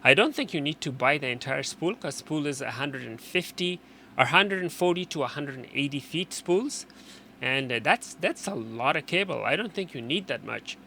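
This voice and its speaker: A man presenting an unscripted technical how-to, explaining in a halting way and often repeating and rephrasing his instructions. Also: fairly high pitch, animated, gravelly, sharp tone, slight background noise. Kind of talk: unscripted hands-on tutorial explanation.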